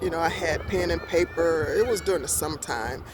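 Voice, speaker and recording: A woman's voice: low voice, fairly quick, background noise. A woman in her sixties telling her own story in a casual, rambling way, with many fillers and self-corrections, speaking outdoors in a park. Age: 60s. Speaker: vlogger.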